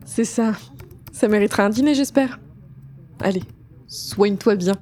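A woman's voice: medium pitch, moderate pace, background noise, natural voice. A woman acting a dry, teasing office colleague in an audio drama. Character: dry, flirtatious female office colleague on the phone.

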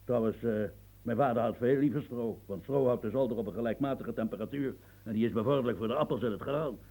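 An actor in a radio play as a grumbling old French marquis and former soldier, husky, stammering and repeating himself as he muses. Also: mid-range voice, quick pace, mellow, natural voice. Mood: rambling, nostalgic. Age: older. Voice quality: gravelly, husky. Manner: stammering, repetitive, musing, earthy, prods with "huh". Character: rambling, grumbling old French marquis, retired military officer.

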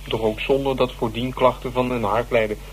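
A man's voice: medium pitch, fairly even intonation, a little fast, natural voice. An actor in a Dutch radio drama playing a curious, bookish man with a musing, teasing and somewhat smug way of talking. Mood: studious. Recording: noisy, humming old radio recording.

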